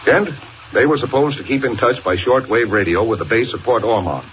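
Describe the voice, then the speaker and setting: An actor playing a brisk, urgent newspaper boss in 1940s radio style, speaking with emphatic authority. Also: male voice, medium pitch, animated, close to an everyday voice. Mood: grave, urgent. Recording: muffled, noisy 1940 radio recording.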